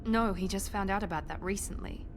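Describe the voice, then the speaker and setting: A woman's voice: mid-range voice, a little fast, soft background music, close to an everyday voice. Woman acting a guarded, fiercely protective game heroine. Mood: earnest, defensive.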